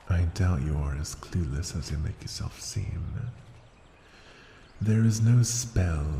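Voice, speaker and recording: An ancient dragon played by a man murmurs in a low, gravelly, breathy voice, often sinking to a whisper. He is seductive and possessive, addressing a mortal with condescending tenderness in an intimate fantasy roleplay. The sound is roomy, with echo around the words. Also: warm tone, full character voice. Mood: condescending, commanding. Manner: slow, deliberate, teasing endearments. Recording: roomy echo, noisy ambience.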